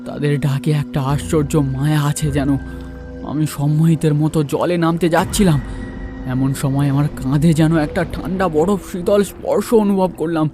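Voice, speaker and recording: A man's voice: on the high side, steady tone, normal speed, music in the background. A man telling a horror story as a storyteller, in dramatic first-person narration.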